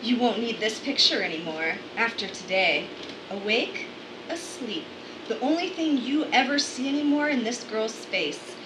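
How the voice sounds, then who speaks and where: A cool older aunt talks calmly and slowly, full of quiet menace, voiced by a woman. It's an amateur radio play. The room is echoey and the hiss is clear. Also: on the high side. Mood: menacing. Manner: calm, slow, threatening.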